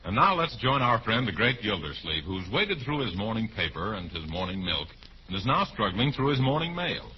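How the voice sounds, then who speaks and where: Male American announcer, 1940s radio pitchman, hugely varied intonation, polished, on a dull vintage recording. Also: very animated, moderate pace, over music. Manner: polished, salesmanlike. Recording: muffled, faintly noisy old radio recording.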